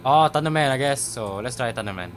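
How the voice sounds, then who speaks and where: A man's voice: medium pitch, animated, a little fast, over loud music. A man doing casual let's play commentary, stumbling as he reads text aloud and swearing freely. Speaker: gaming video host.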